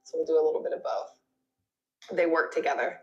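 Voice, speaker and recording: A woman's voice: deep voice, steady tone, fairly quick, lo-fi sound. A woman leading a yoga class, giving step-by-step cues in an encouraging, conversational way. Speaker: yoga instructor. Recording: roomy echo with music behind. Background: music.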